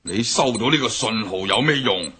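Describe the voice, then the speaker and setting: A commanding old gang boss with a Hong Kong Cantonese accent. His husky voice swings widely up and down as he questions his men. This is classic radio drama acting. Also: on the low side, lively intonation, normal speed, heavily acted. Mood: probing. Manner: gruff, interrogating, flares into shouting. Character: older male gang boss, stern and commanding.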